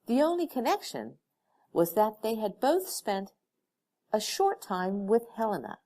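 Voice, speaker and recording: A woman narrating a fan fiction audiobook in an expressive storytelling manner. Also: a little high, unhurried. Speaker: audiobook reader. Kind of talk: scripted narration of fan fiction, with character dialogue.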